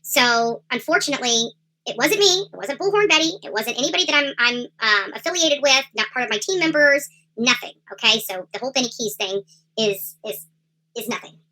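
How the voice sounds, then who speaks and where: A woman hosting a livestream in a casual, rambling commentary style with halting delivery. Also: on the high side, animated, sharp tone, rough recording. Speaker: livestream host. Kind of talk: casual livestream chat, true crime commentary.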